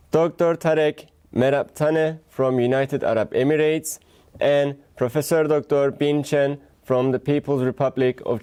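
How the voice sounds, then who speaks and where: A man's voice: on the high side, dark tone, smooth. A man chairing a conference session, formally introducing the speakers. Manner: formal, reads out names. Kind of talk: formal announcement.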